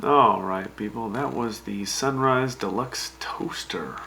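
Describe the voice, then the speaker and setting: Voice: mid-range voice, slow pace, creaky, bright, forceful tone, shouting, a little hiss or hum, full character voice. A performer voicing a wry, teasing radio host who introduces old jingles. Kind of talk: fan radio drama.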